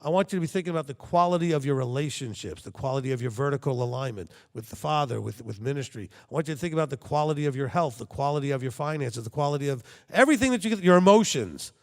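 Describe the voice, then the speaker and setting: A man's voice: mid-range voice, animated, fast talker, few pauses, in a large hall. A man preaching a sermon to his congregation, talking conversationally and checking in with frequent rhetorical questions. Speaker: congregation leader.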